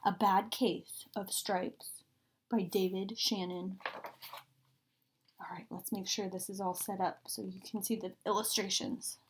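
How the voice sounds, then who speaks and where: A woman's voice: mid-range voice, level tone, measured pace, a little hiss or hum. A woman reading a picture book aloud like a teacher, expressively, drawing out and stressing key words. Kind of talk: expressive children's read-aloud.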